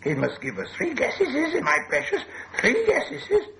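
A man's voice: extremely high pitch, wildly swooping pitch, moderate pace, creaky, bright, forceful tone, pitched above the performer's own voice, heavily acted. A man voicing a wretched, slimy cave creature for an audiobook, whining and hissing in a fretful, wheedling way and shrieking when upset. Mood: agitated. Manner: fretful, wheedling, talks of self as "we". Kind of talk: audiobook character voice.

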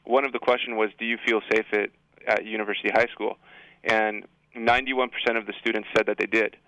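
A man's voice: fairly low pitch, little pitch movement, a little fast, bright, forceful tone, no echo. A man speaking as a school administrator in a radio interview, direct and reassuring in how he answers. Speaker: high school assistant principal. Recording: slightly dull, band-limited line.

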